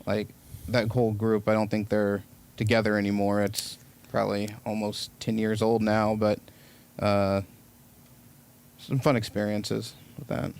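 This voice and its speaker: A man's voice: on the low side, monotone, measured pace, creaky, darkish tone, slight background noise. A man giving a casual interview as a film maker, rambling along and peppering his speech with filler words.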